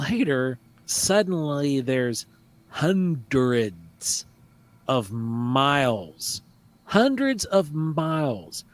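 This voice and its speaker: A man hosting a live paranormal talk show, chatting casually and animatedly, sometimes mocking critics. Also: mid-range voice. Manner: animated, mocking, trails off and restarts. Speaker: podcast host. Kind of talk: casual live radio chat.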